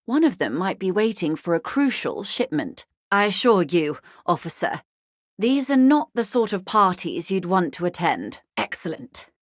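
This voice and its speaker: An older, well-bred corporate negotiator from a video game. Her voice is on the low side and recorded very dry and close. She is shrewd and unfailingly courteous, with refined, measured phrasing and a dry wit. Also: fairly bright tone, no room sound. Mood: businesslike, shrewd. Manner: composed, courteous, dryly witty, measured diplomatic phrasing. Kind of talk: video game character dialogue.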